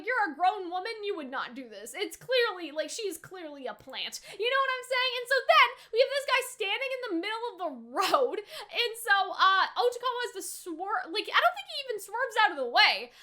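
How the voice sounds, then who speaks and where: A woman's voice: extremely high pitch, big pitch swings, a little fast, hardly any pauses, pushed and bright. A young adult woman giving casual reaction commentary in an informal, slangy way, often tossing in rhetorical questions. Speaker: reaction video host.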